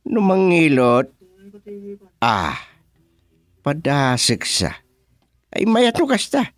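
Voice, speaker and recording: Slow-talking Filipino father played by a man. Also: fairly high pitch, wildly swooping pitch, heavily acted. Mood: pleading, curious. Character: excitable father fretting over his lost cellphone. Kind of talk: Ilocano radio comedy drama acting.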